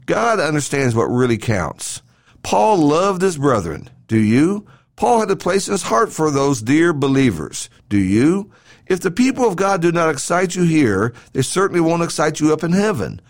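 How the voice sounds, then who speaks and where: A man reads a devotional sermon as a podcast host. His voice sits a little high for a man and moves through lively rises and falls. The delivery is earnest and emphatic, built on repeated phrases and rhetorical questions. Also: moderate pace. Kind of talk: devotional sermon read from a script.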